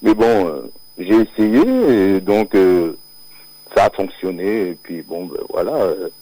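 A man with a lowish voice speaks slowly and haltingly, full of fillers and restarts, laughing now and then. He is a singer from Martinique who grew up near Paris, heard over a live radio broadcast. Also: dark tone, poor audio. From Martinique, born near Paris. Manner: hesitant, many fillers and restarts, laughs often. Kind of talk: live radio interview, casual chat.

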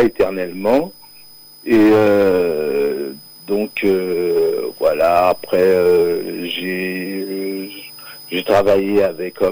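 A man with a lowish voice speaks slowly and haltingly, full of fillers and restarts, laughing now and then. He is a singer from Martinique who grew up near Paris, heard over a live radio broadcast. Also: a little mellow, low-quality recording. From Martinique, born near Paris. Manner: hesitant, many fillers and restarts, laughs often. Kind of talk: live radio interview, casual chat.

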